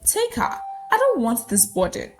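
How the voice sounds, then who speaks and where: A woman's voice: on the high side, wildly swooping pitch, normal speed, slight background noise. Woman voicing a cold, curt, heartless husband. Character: cold, heartless husband turning on his wife.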